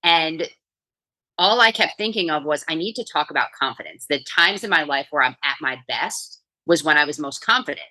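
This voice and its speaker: A middle-aged woman from New York with a low voice and a bright, forceful edge. She tells her story in a chatty, energetic way as a podcast guest. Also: normal speed, rough recording. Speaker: guest, coach and author. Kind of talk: casual podcast interview.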